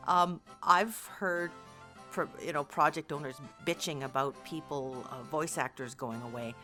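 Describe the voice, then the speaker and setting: A woman's voice: deep voice, fairly even intonation, unhurried, bright, forceful tone, soft background music. A woman speaking candidly and expressively as an interviewed voice actor in a casual online call.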